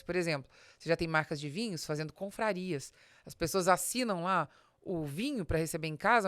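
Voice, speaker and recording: A Brazilian woman with a fairly low voice, recorded close and dry. She explains business ideas informally but emphatically, like a podcast host teaching her audience, and addresses the listener directly. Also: clean tone, no echo. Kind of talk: informal business advice monologue.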